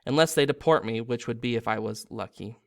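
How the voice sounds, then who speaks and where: A weary storybook fugitive, read out by a man. He talks in a wry, dry, dismissive way at a medium pitch. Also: a little fast, gravelly, natural voice. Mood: dry, resigned.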